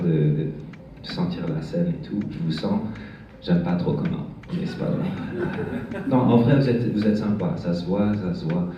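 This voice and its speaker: A young man from France performing a stand-up set on a bar stage, talking in a halting way with lots of fillers and restarts. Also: low voice, background noise. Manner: hesitant, halting delivery with many fillers and restarts. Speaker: stand-up comedian. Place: bar stage with live audience. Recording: echoey, dull room sound from far off, music behind.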